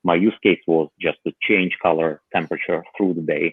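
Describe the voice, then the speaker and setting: A low-voiced man talks through his home gadgets in a loose, halting way, full of "uh"s and restarts. His voice comes over a thin, phone-like line with no room sound around it. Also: fairly even intonation, breathy edge, no room sound. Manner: halting, many fillers and restarts. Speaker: podcast panelist. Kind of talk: casual tech chat.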